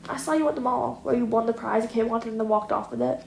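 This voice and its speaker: A woman's voice: fairly high pitch, fast, gravelly, a little mellow. A woman voicing a scraggly, petulant male villain who is awkward, easily offended, hesitant and indignant. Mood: awkward, whiny. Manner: indignant, hesitant, repeating himself. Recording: echoey room, audible noise.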